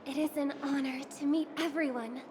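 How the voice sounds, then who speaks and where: An actress voicing a gentle young celebrity singer in a video game, sweet, poised and courteous. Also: female voice, high-pitched, steady tone, a little fast, background noise, natural voice. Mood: gracious. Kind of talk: dubbed video game dialogue. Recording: game audio under loud music.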